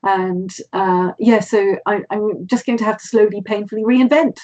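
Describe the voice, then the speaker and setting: A woman's voice: mid-range voice, fairly even intonation, moderate pace, warm tone, muffled recording. A woman author from Britain, a guest in a casual conversation, speaking thoughtfully as she searches for words.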